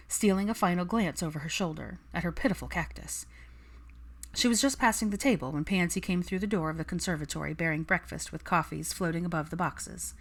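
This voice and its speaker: A woman reading fiction aloud as an audiobook narrator, in scripted storytelling style. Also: lowish voice.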